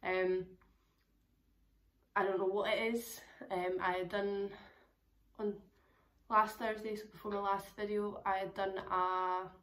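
A young woman with a hoarse, crackly voice, chatting casually to camera about her travels, rambling with lots of fillers. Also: mid-range voice, level tone, takes it slowly, long pauses, a bit bright. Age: young adult. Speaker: travel vlogger. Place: small room indoors at home.

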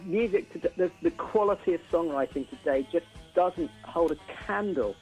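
A middle-aged man chats as a musician guest on radio. His voice sits a little high, and he is opinionated, repeating phrases for emphasis, with faint music around. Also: normal speed, a little mellow, rough recording. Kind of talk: casual radio interview chat.

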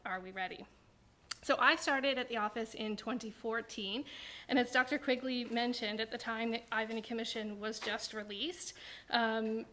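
Woman, 30s, squeaky, self-deprecating panel speaker at a podium mic. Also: a bit bright, a little hiss or hum. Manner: chatty, self-deprecating, frequent ums. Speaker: provincial immigration director. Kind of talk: panel presentation. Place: university lecture room. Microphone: podium microphone.

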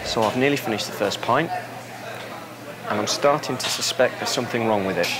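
A man's voice: medium pitch, brisk, rough recording. A man in his thirties with a British accent, chatting casually as the presenter of a prank in a pub. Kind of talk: casual hidden-camera prank narration and chat. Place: busy pub. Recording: loud pub crowd and music.

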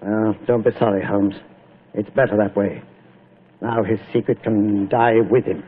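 An actor playing a dignified, sorrowful army general, halting and formal, in a 1940s radio drama. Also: male voice, on the low side, fairly even intonation, breathy edge. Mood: grave, grieving. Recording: noisy, tinny 1940s radio broadcast.

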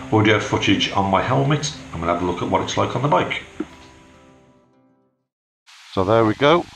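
A man with an English accent and a very deep voice, chattily reviewing a camera for his motorbike vlog through a helmet microphone. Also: vocal fry, fairly bright tone, poor audio. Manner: chatty, explains as he goes. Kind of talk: casual product review vlog. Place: home and garden, then outdoors by his motorbike. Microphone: helmet microphone of action camera.

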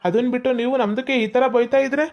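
Brief: a man as a brash, fast-talking guy mangling his Kannada, dull lo-fi sound. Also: very high-pitched, fairly even intonation. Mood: arguing. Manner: odd broken phrasing, cheeky. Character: brash young man speaking broken Kannada. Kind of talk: comedy skit acting.

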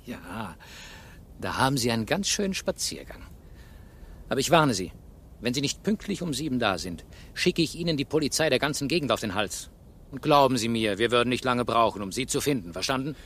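An actor playing a shrewd, sardonic police inspector who probes and gives orders in a German radio crime play. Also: male voice, mid-range voice, sing-song, fairly quick, a bit bright, slight background noise. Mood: dismissive, threatening. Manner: probing, sardonic, commanding.

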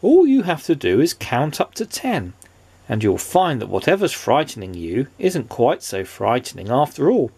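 A storybook narrator voicing a kindly, calm male tramp who speaks in a gentle, reassuring way. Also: medium pitch, normal speed, creaky, husky, no room sound, heavily acted.

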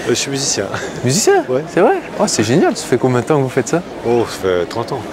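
A man's voice: mid-range voice, huge pitch swings, fast. A middle-aged man from Switzerland answering street interview questions in a laid-back way, with short replies that trail off. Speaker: musician and fitness coach, interviewee. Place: city street outdoors. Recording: loud street noise.

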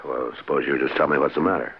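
An actor playing a calm, laconic American Old West marshal with a gravelly voice in a 1950s radio drama. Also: male voice, very deep voice. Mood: steady. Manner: unhurried, dry. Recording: dull, faintly noisy vintage radio recording.

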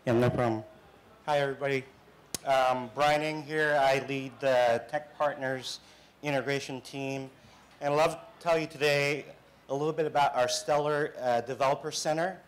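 A man's voice: mid-range voice, steady tone, moderate pace. Man introducing a demo on stage. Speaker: technical presenter. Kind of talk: conference talk. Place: large dark event hall on stage.

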